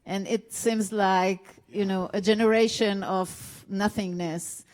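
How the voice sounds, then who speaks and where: A woman's voice: medium pitch, steady tone, slow pace, darkish tone. A woman from Israel, moderating a panel and asking thoughtful questions. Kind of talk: panel discussion.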